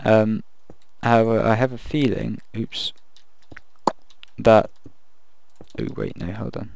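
A man casually commenting while he builds in a video game, thinking aloud and rambling, his voice croaky from the late hour. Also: lowish voice, sing-song, slow, a little mellow. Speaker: gaming video creator.

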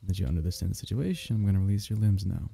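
A man voicing a lonely, doting alien symbiote in an intimate, soothing ASMR roleplay, coaxing its host with a low, gravelly tone. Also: fast talker, dark tone, full character voice. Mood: gentle coaxing.

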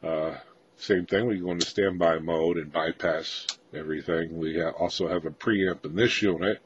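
A man giving an informal, unscripted walkthrough of equipment, pausing often mid-phrase as a hobbyist presenter. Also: low voice, steady tone. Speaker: hobbyist presenter of radio gear. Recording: dull lo-fi sound with faint noise.

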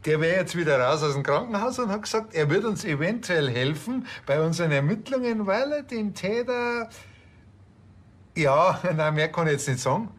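A man's voice: sits high, animated, moderate pace. An actor playing a wily, chatty small-town policeman with a folksy Bavarian accent in a TV crime comedy. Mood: eagerly official. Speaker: police officer.

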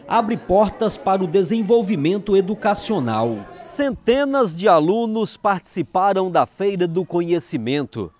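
High, lively male news voice-over. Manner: formal, measured voice-over. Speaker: news reporter. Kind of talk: scripted news narration.

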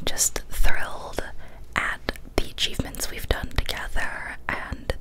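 A young woman whispers softly right up at a studio microphone. Her delivery is intimate ASMR, gentle and deliberate, with breathy, unvoiced words that take their time. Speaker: ASMR YouTuber and host. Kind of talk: whispered ASMR, intimate talk and story reading. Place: small cozy indoor room. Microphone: large studio microphone close to the mouth.

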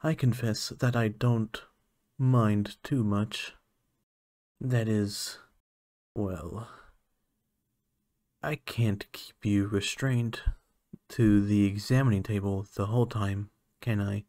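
Man playing a slow, hesitant alien scientist. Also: fairly low pitch, steady tone, a little mellow, no echo, studio quality. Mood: hesitant, awkward. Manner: hesitant, musing, halting. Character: lonely, heartbroken male alien scientist, hesitant and earnest. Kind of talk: ASMR roleplay monologue.

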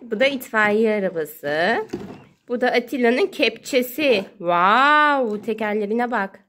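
A woman's high voice speaks in playful baby talk, as a mother does with a small child. She narrates the game in a sing-song way, repeating little words. The recording is a homemade one with clear background noise. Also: animated, takes it slowly, a bit bright. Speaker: mother playing with her young child. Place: indoors at home beside water play tubs. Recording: noisy home phone recording with clicks.

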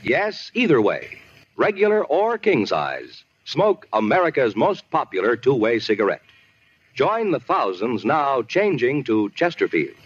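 Animated male 1950s radio announcer. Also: medium pitch, a little slow, breathy edge. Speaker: commercial announcer. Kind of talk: 1950s radio cigarette advertisement. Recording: dull old radio recording.

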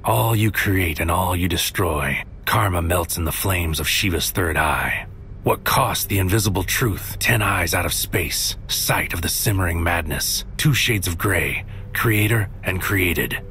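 A man's voice: low voice, unhurried, a little hiss or hum, full character voice. An actor playing a tormented, brooding man with godlike power, giving a solemn, intense poetic monologue in a sci-fi audio drama. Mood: haunted, brooding. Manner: solemn, intense, incantatory, often dropping to whispers. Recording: loud music under the voice. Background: loud music bed.

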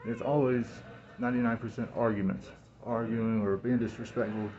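A man in his thirties in a rambling, halting monologue, repeating words and phrases, recorded on a phone indoors through a cloth mask. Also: fairly low pitch, steady tone, darkish tone, lo-fi sound. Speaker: vlogger talking to camera. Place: small room indoors. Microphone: phone at arm's length, speaking through cloth mask.

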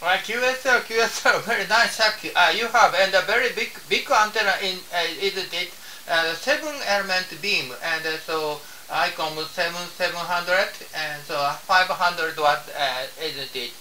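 A man from Japan with a Japanese accent, making a ham radio contact in halting, repetitive English and spelling out call signs. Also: high-pitched, sharp tone, recorded from a distance. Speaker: amateur radio operator. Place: small home radio room. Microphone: desk radio microphone, camera picking up the room. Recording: roomy, off-mic, with faint hiss.

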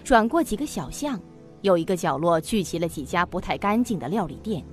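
A woman's voice: on the low side, lively intonation, brisk, soft background music. A woman narrator reading scripted narration for a suspense audio drama.

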